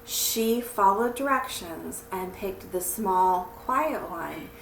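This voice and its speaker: A woman's voice: mid-range voice, lively intonation, slow, creaky, fairly bright tone. A woman telling stories and talking to children in a simple, encouraging, teacherly way. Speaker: children's story reader and church teacher. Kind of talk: read-aloud storytelling and friendly talk to children. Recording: roomy, distant mic with faint noise.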